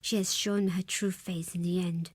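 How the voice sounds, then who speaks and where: Low-voiced, proud desert tribeswoman. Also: little pitch movement, normal speed, breathy edge, no echo. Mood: bitter, shaken. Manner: solemn, formal, imperious. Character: proud, stern desert tribe woman, second-in-command, wary of outsiders. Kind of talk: dramatic video game character acting.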